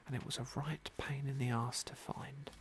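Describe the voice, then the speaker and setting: A British man narrating an ASMR game playthrough in a gentle, polite, understated manner. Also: medium pitch, flat delivery, normal speed, background noise. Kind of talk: whispered ASMR roleplay and commentary.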